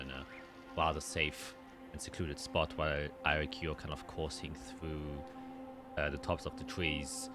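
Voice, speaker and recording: A man narrating a tabletop roleplaying game as game master, descriptive and halting as he thinks aloud. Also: rumbling low.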